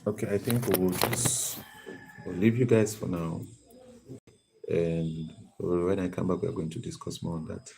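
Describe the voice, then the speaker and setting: A man's voice: low voice, steady tone, normal speed, gravelly, background noise. A man hosting an interactive live lesson, calling on viewers by name and reading their comments. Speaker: live stream host.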